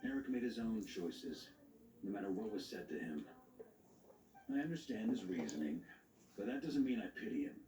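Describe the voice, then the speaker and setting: A man acting a stern grandmaster of knights in a video game. He is measured and wry, with a lowish, very varied voice. The sound is echoey. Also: expressive, dark tone, recorded from a distance, a little hiss or hum, close to an everyday voice. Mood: reflective.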